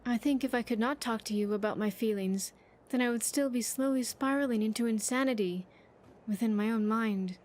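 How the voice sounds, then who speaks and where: A woman's voice: fairly high pitch, brisk, a little mellow, close to an everyday voice. A woman voicing an earnest, grateful young survivor in a video game, chatty and sincere with slightly stilted phrasing. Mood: reflective, grateful. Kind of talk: video game character dialogue.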